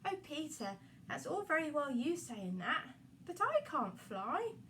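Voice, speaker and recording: Woman playing a high, earnest young girl. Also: big pitch swings, unhurried, distant mic, background noise. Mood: doubtful, amazed. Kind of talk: children's puppet-show storytelling.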